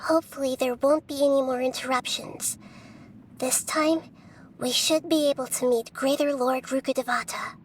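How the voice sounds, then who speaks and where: A woman voicing a gentle, thoughtful child-like young goddess, soft-spoken and earnest, in an English anime game dub. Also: high voice, fairly even intonation, moderate pace, slight background noise, natural voice. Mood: calm, earnest.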